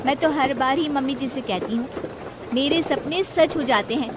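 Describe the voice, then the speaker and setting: Cartoon daughter-in-law, played by a woman: very high voice, headstrong, superstitious, pushes insistently to get her way. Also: moderate pace, heavy background noise, lightly acted. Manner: insistent, pleading. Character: stubborn, superstitious young daughter-in-law who trusts her dreams. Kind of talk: scripted cartoon story dialogue.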